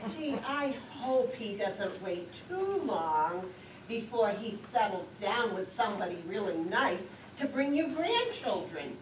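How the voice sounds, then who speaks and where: A chatty, nagging older woman played by an actress on stage, with lively swings in pitch and an unhurried pace. She sounds self-absorbed and easily exasperated. The recording is roomy, with clear noise. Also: far from the mic. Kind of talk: amateur stage play dialogue. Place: lakeside community theater stage, picked up from afar.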